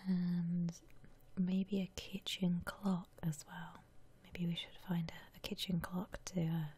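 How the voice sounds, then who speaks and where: A woman whispering softly and breathily as she muses aloud through ASMR game commentary. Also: fairly low pitch, level tone, husky. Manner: unhurried, soft-spoken musing aloud. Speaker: host of an ASMR gaming channel.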